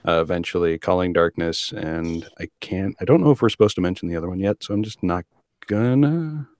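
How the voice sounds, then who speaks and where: A man with a fairly deep voice chats as a guest host on a podcast. He picks his words a little hesitantly. The recording is dry and free of room sound. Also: lively intonation, a little fast, a little mellow, dry recording. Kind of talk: casual chat.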